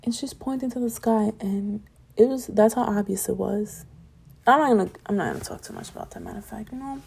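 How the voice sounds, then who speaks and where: Young woman, solo podcast host, gravelly and a bit hoarse, mellow tone, rambling and preachy about positivity. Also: mid-range voice, big pitch swings, brisk. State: voice getting raspy from talking late. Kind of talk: late-night solo podcast monologue.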